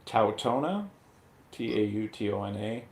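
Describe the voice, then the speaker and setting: In an echoey, slightly noisy room, a man chats casually as a panelist. His voice sits fairly low and has a lot of creak in it. The talk is loose, conversational film review. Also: expressive, measured pace. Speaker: show panelist. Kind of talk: casual movie review chat.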